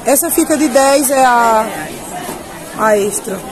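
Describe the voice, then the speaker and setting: Northeast brazil lady showing market goods, really high voice, chatty, loud crowd around her. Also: slow. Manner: chatty, addressing viewers with repeated tag questions. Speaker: market video presenter. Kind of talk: informal product showcase. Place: covered open-sided clothing market. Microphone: handheld camera, speaker behind it. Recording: busy crowd noise of covered market.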